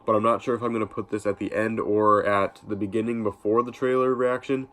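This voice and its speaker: A young man talks to his viewers with a congested, stuffed-up nose from a cold. His voice sits low and barely moves in pitch. He wanders through his thoughts with frequent ums and false starts. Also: level tone, fairly quick, fairly bright tone. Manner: rambling, many ums and restarts. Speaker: YouTube vlogger. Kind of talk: casual reaction vlog talk.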